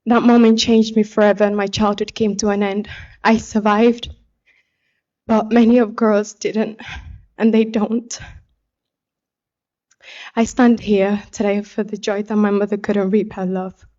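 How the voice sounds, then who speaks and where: A young woman from Somalia giving a personal testimony speech as an activist, speaking in an earnest, deliberate way. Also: medium pitch, level tone, dark tone, dull audio. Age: young adult. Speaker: pageant contestant and activist speaker.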